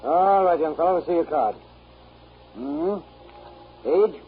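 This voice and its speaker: A man playing a brisk, gruffly kindly immigration official with clipped questioning, in 1930s radio acting. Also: a little high, sing-song, heavily acted. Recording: dull, noisy 1939 radio recording.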